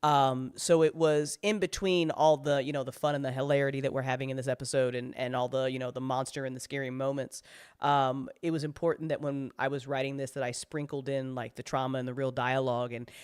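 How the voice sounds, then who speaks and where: Rambling, sweary speaker in animated casual chat. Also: mid-range voice, a little fast, clean tone. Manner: rambling, sweary, slangy, dramatic retelling. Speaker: audio drama writer.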